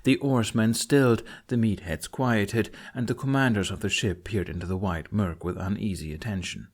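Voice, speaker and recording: Guy reading a fantasy audiobook, kinda low voice, telling the story from a script. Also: normal speed. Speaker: audiobook narrator.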